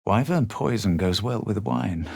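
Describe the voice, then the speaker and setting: A husky fantasy game hero, sure he is better than you. His lowish voice swings widely in pitch with measured, superior drama. The recording is close and dry. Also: lively intonation, no echo, full character voice. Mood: measured, self-assured. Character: smug, self-assured adventurer hero of a fantasy game. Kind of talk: video game voice lines, narration and spell calls.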